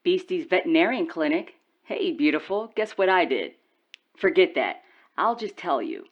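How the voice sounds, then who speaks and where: An actress playing a breezy, excitable woman friend chatting eagerly through a phone filter in an audio drama. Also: lowish voice, normal speed, lo-fi sound.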